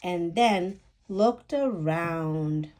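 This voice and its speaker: A woman reading a rhyming children's picture book aloud with deliberate, animated phrasing. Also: fairly low pitch.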